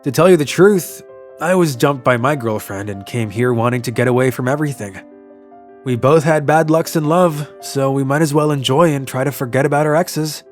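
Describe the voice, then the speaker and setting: A man playing a kind, lovelorn young traveller in an English manga dub, narrating his story and speaking in an earnest, soft-hearted way. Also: medium pitch, normal speed, faint music behind, close to an everyday voice. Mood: earnest, concerned.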